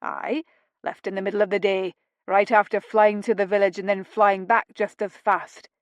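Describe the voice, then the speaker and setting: A gruff older Scottish stable master, loud and blunt with a fond streak, as a woman reads him aloud. He talks in broad dialect, close and dry with no room around him. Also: medium pitch, level tone, normal speed, dry recording, lightly acted. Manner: blunt, folksy, dialect-heavy speech.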